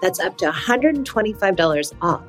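A woman's voice: on the low side, sing-song, a little fast, soft background music. Woman host reading a sponsor ad. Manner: friendly, chatty promotional delivery. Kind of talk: ad read.

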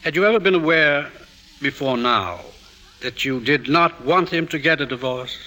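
Casting: coolly composed analyst, bright firm voice, measured clinical questioning, vintage radio play. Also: male voice, a little high, expressive. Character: probing, coolly composed male psychoanalyst. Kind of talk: 1950s radio drama acting.

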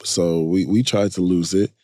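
A man's voice: low-pitched, fairly even intonation, normal speed, mellow, dry recording, studio quality. A man from Chicago who spent part of his upbringing in Nigeria, speaking conversationally and emphatically as an interview guest, explaining as he goes. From Chicago, United States, partly raised in Nigeria.

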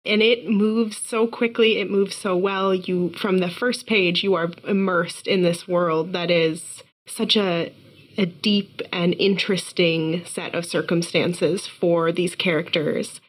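A woman's voice: lowish voice, fairly even intonation, slow, breathy edge, low-quality recording. Enthusiastic woman book club host. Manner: enthusiastic, admiring. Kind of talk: interview questions.

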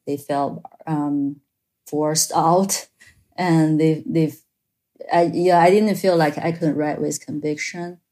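Slow, low-voiced woman with a Chinese accent. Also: fairly even intonation, warm tone. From China. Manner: thoughtful, halting, many fillers and restarts. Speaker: author guest. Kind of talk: interview answers.

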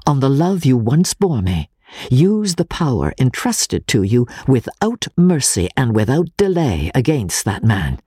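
A man narrating classic literature in the first person as a dramatic reading, in a measured, formal, deliberate manner. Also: medium pitch, big pitch swings, mellow, breathy edge, no room sound, studio quality. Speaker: audiobook narrator.

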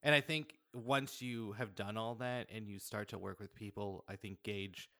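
A man speaking as a podcast guest in a casual, animated storytelling way, peppering his talk with "like". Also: on the low side, moderate pace, no echo, clean studio sound. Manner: animated, chuckles through anecdotes, frequent "like". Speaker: podcast guest, audio drama writer-director.

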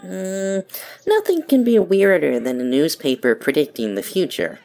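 A woman's voice: lowish voice, expressive, a little slow, vocal fry, a little mellow. A woman playing a weary, guarded, sceptical young woman in an audio drama, with a dry, curt, questioning manner.

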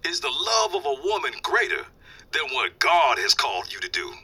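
An actor playing an older, concerned, God-fearing father in an audio drama, probing his grown son in an earnest, husky voice. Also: a little high, big pitch swings, moderate pace, sharp tone, full character voice. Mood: solemn concern.

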